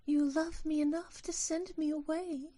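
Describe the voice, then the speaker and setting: A woman voicing a gentle, loving magical sylph who is dying, soft and solemn, in an audio drama. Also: high-pitched, fairly even intonation, a little slow. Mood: serene, sorrowful. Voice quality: smooth, soft.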